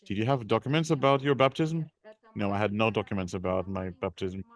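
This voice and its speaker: A man with a fairly low voice works as a live interpreter at a seminar. He builds his sentences piece by piece, haltingly, often repeating a word before moving on. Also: fairly even intonation. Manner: halting, piecing phrases together with repeats.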